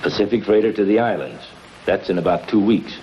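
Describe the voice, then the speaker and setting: An actor playing a loyal, plain-spoken gangster's accomplice in a vintage television crime drama. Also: male voice, lowish voice, brisk, lightly acted. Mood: businesslike. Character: loyal gangster accomplice, planner with some qualms. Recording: dull vintage TV soundtrack, faint noise.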